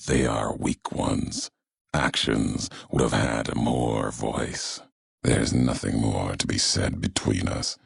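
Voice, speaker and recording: An extremely deep, heavily raspy game character, a brooding and vengeful wasteland courier. He speaks slowly in solemn, weighty, ominous phrases. The sound is close and dry. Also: no room sound, heavily acted. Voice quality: gravelly, raspy bass. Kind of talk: video game character dialogue.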